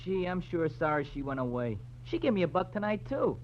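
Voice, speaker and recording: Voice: mid-range voice, sing-song, brisk, lightly acted. A performer playing an eager, friendly young newsboy, chatty and gee-whiz, in 1940s radio style. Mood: eager, curious. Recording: muffled old radio recording, faint hum.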